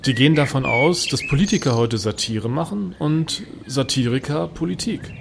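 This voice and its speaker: A man's voice: mid-range voice, animated, moderate pace, gravelly, background noise. An actor playing a self-righteous state informer in his late thirties in a satirical radio play, articulate and smug as he justifies himself. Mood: self-justifying. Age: late 30s.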